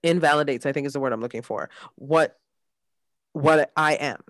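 A woman's voice: deep voice, normal speed, fairly bright tone, no room sound. Woman podcast co-host, dry close sound. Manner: agreeable, searching for words as she talks. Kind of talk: casual chat.

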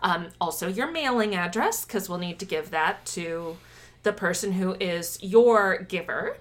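A woman's voice: lowish voice, animated, unhurried. A woman co-hosting a podcast in casual, chatty conversation, laughing often and rambling a little. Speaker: podcast co-host.

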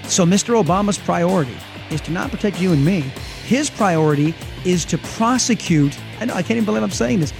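Male talk radio host. Gravelly voice, indignant and punchy, with music under the clip. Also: high voice, expressive, fairly quick. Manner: indignant, emphatic.

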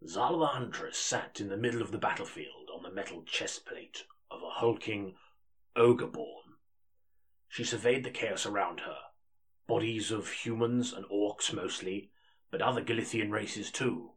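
A British audiobook narrator in a comedy. His voice is low and husky. He comes across as polite and a little hesitant, the sort who reads high fantasy with care. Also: normal speed, fairly bright tone. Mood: solemn narrating.

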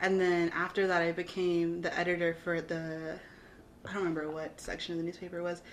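A woman's voice: lowish voice, completely flat delivery, brisk, a little hiss or hum. A young adult woman from Austin, Texas, a graphic designer guest talking casually, rambling and modest with many fillers. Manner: rambling, heavy on "like", giggly and modest. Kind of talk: casual interview chat.

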